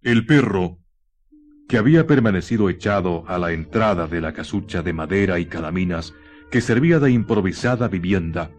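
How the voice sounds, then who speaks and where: A Chilean man narrating a scripted radio drama in a solemn, literary manner with measured phrasing. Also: sits low, darkish tone. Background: music.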